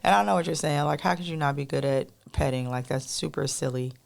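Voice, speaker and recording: Podcast lady just chatting w her listeners, soft melodic voice w some gravel, lots of um and y'all. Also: low voice, fast. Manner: rambling, addresses listeners as y'all, many fillers. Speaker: podcast co-host. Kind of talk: casual chatty monologue to listeners.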